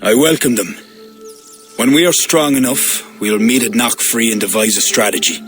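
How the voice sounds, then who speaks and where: Man playing a stately, commanding High King. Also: music in the background, natural voice. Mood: measured, resolute. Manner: formal, measured, commanding. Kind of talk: video game cinematic acting.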